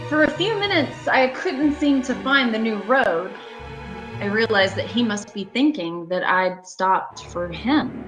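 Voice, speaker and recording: A woman's voice: a little high, lively intonation, measured pace, poor audio. A woman playing an uneasy, haunted motorist driving alone, telling her story dramatically in an amateur radio thriller. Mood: uneasy, reflective. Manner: dramatic first-person storytelling. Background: music.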